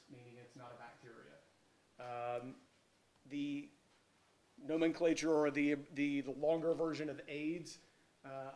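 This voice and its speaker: A man with a cold lectures in a loud, carrying voice, pitched a little high. He talks informally and swings from booming emphasis to quiet asides. The sound rings with the echo of a lecture hall. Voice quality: loud, projecting voice. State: has a cold. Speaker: guest lecturer, medical researcher.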